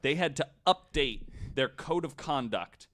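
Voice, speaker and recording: A man's voice: medium pitch, animated, a little slow, a bit bright. A man casually telling a researched story on a podcast, in an informal, somewhat hesitant way.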